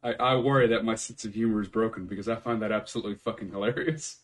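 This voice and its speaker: A man's voice: lowish voice, steady tone, fast, a little hiss or hum. A man hosting a casual podcast from home, swearing freely and laughing often. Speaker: podcast host. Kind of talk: casual chat.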